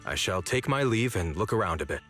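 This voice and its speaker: A polite wandering man with no memory speaks in a low, formal voice. His manner is courteous and a little old-fashioned, like a game traveler. Faint music plays behind. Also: very animated, fairly quick, fairly bright tone. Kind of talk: video game voice acting.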